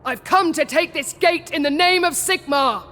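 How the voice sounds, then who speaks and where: An actress playing a proud, righteous holy warrior in a fantasy game, solemn, commanding and declamatory. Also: female voice, very high-pitched, normal speed, a bit bright, big-room sound, faint music behind. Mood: defiant. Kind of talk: dramatic fantasy game acting.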